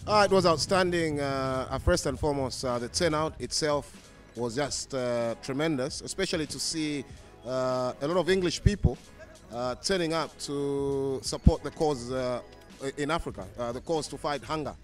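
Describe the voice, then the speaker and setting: A man's voice: medium pitch, moderate pace, faint music behind. A man speaking as a football club's publicity secretary, formal, with frequent fillers. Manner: frequent uhs, formal phrasing.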